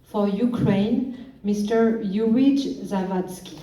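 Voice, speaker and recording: A woman emceeing a literature event, formally introducing authors one by one in a measured, deliberate way. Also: medium pitch. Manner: measured and deliberate, pauses between phrases. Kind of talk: formal stage introductions, read aloud. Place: large hall at a literature event. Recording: echoey hall, far from the mic, faint noise.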